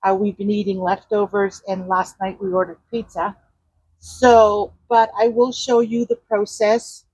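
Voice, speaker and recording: A woman chatting loudly while she works in her garden, explaining her steps as she digs. Her mic is clipped to a nearby trellis, and she rambles and hunts for words. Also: medium pitch, little pitch movement, measured pace, slightly rough, no echo, poor audio. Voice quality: loud voice. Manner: talks while digging in the garden. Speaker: gardening vlogger. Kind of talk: casual how-to narration while working. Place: outdoor garden.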